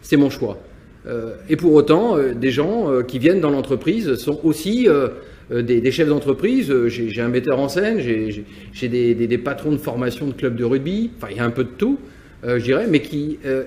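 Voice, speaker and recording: Brief: male company owner from Nantes, halting and reflective, mid-pitched. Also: very animated, mellow. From France, Nantes. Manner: hesitant, many restarts and repeated words. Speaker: business owner. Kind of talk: interview answers, personal reflection.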